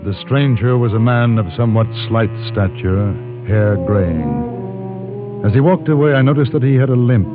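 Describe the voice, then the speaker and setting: An actor playing a courteous, steadfast gentleman traveler in a radio western, formal and measured. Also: medium pitch, animated, loud music behind the voice, close to an everyday voice. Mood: measured narration. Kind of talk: old-time radio western acting and narration. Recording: narrow, noisy vintage radio recording.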